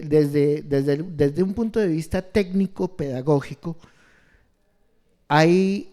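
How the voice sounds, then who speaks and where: A man explaining ideas on education in an interview, halting and restarting often as he searches for words. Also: on the high side, mellow. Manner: slow, halting, many restarts and fillers. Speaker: education expert guest.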